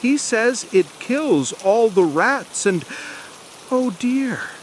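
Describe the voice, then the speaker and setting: A man's voice: very high-pitched, lively intonation, drawn-out words, slight background noise, pitched above the performer's own voice, exaggerated voice. A man voicing a polite, eager, chatty little girl in a storybook reading, soothing and apologetic. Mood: flustered, apologetic. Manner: soothing, hesitant, gushing.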